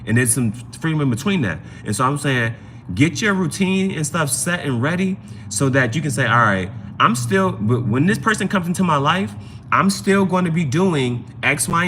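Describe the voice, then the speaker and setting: A man's voice: a little high, pushed and bright, slight background noise. A man hosting a casual live tarot reading, giving advice conversationally and often repeating his phrases. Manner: conversational, repeats phrases and adds "you know what I'm saying".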